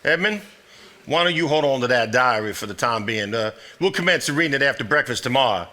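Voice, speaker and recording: A man's voice: medium pitch, lively intonation, fairly quick, pushed and bright. A man acting a blunt, needling Southern man with a sardonic drawl in a live stage play. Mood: easygoing. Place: theater stage before a live audience.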